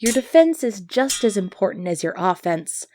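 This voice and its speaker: A woman's voice: medium pitch, sing-song, moderate pace, a little mellow, a little hiss or hum, close to an everyday voice. A woman playing an earnest, easily flustered medieval knight devoted to her prince, speaking politely and formally in an ASMR roleplay. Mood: playful, confident. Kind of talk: ASMR roleplay acting, formal old-fashioned speech.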